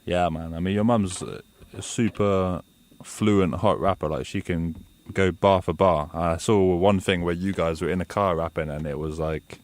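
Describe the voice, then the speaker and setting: A man's voice: low voice, steady tone, normal speed, a little mellow. A man hosting a radio show, interviewing a guest in a chatty, enthusiastic way and calling him "man" again and again.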